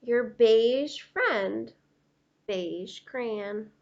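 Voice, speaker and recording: A woman's voice: fairly high pitch, animated, drawn-out words, dull audio, exaggerated voice. A woman voicing a proud, indignant beige crayon tired of second place, declaring its grievances in a storybook read-aloud.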